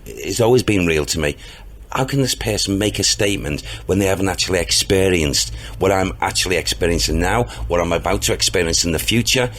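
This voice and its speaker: A man with a booming, deep voice, talking earnestly as an interview guest about his work as a spiritual medium, rambling a little with restarts and hesitations. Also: animated, brisk, fairly bright tone. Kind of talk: casual interview talk.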